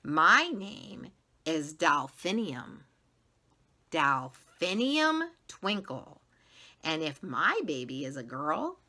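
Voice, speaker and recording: A woman puts on a grand, kindly music teacher from a children's storybook. She gushes and draws out her words in a songful way. The tone is bright, with big swoops in pitch. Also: heavily acted. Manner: theatrical, drawn-out, songful delivery.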